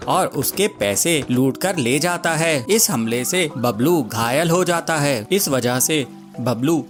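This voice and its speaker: A man's voice: a little high, expressive, slow. A man giving scripted narration of a children's story as a storyteller. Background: music.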